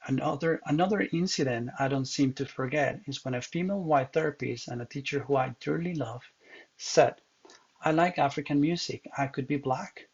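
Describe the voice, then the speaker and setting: Gravelly man. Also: medium pitch, muffled recording. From Ecuador. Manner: measured, earnest reading with occasional slips. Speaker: counseling student. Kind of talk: read presentation narration.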